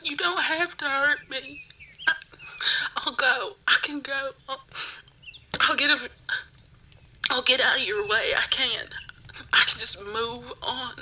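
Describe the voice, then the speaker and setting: Woman playing a frightened, high-voiced pleading captive. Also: fairly even intonation, sharp tone, slight background noise, exaggerated voice, low-quality recording. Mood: begging. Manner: halting, stammering, pleading. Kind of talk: ASMR roleplay acting.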